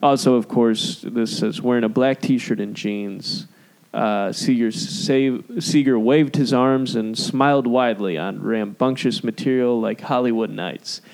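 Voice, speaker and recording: A man's voice: mid-range voice, steady tone, a little slow, gravelly, darkish tone, rough recording. A man co-hosting a casual podcast chat. He is losing his voice, laughs often, and reads review quotes aloud. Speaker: podcast co-host.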